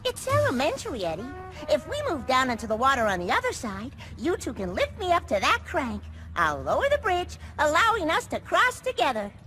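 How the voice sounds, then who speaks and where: Cartoon game casting: anxious little intellectual, very high voice, swooping pitch, fussy diction, music behind. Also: male voice, huge pitch swings, brisk, pushed and bright, full character voice. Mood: precise curious. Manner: fussy, precise, wordy. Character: prim, anxious, brainy, polite boy. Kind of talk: cartoon video game acting.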